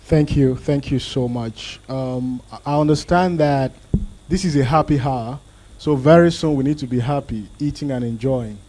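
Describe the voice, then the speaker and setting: A young man moderating a conference panel, polite and slow with fumbling phrasing, into a handheld mic. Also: mid-range voice, mellow, a little hiss or hum, poor audio. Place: conference hall on stage.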